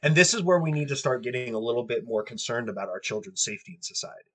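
A man's voice: mid-range voice, lively intonation, fast, a bit bright. A man hosting a podcast who rants angrily and swears constantly, sniffling from allergies, talking from a cold house. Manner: angry, profane ranting. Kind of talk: ranting podcast talk.

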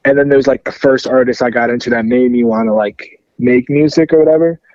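A young man in his early twenties talks in a mellow, warm tone, easing into his answers with lots of fillers and slang. His voice comes through a dull, slightly muffled line. The sound is dry, with no room echo. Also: medium pitch, fairly even intonation, brisk. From Detroit. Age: 20s. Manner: easygoing, hesitant with many fillers and slang. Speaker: rapper, interview guest. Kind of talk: casual phone interview chat.

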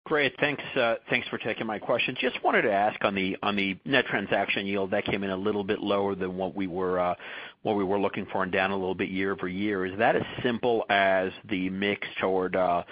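A man with a deep voice asks an analyst's question on an earnings call. The sound is dry and a little muffled, like a phone connection. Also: steady tone, brisk, dry recording. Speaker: financial analyst asking a question.

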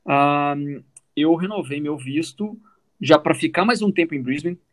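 A man's voice: on the high side, a little slow. A man with a Brazilian Portuguese accent telling his own story in a casual, informal way as a podcast co-host, often adding little check-in questions.

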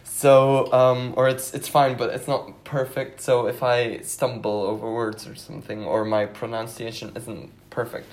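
A man from Belgium, not a native English speaker, co-hosting a casual podcast, hesitant with many ums and quick to laugh. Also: medium pitch, little pitch movement. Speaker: podcast co-host. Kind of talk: casual chat.

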